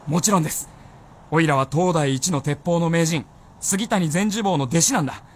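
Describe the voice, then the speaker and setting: Man acting a high-pitched, fervent young gunner. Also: normal speed, lightly acted. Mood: determined. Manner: earnest, fervent, boyish. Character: hot-headed, earnest young male gunner, devoted to his master. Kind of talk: Japanese radio drama acting.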